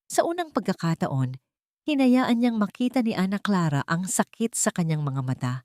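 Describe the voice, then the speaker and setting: A woman narrating a scripted dramatic audiobook story with solemn, deliberate phrasing. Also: medium pitch, sing-song, darkish tone, no echo, studio quality.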